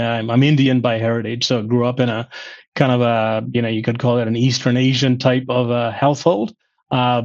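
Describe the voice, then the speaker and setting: A man's voice: mid-range voice, a little mellow. Middle-aged man with slight Midwestern accent, muffled. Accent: American, slightly Midwestern. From England. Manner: measured, explanatory, frequent "uh" fillers. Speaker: guest, former finance chief. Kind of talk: podcast interview.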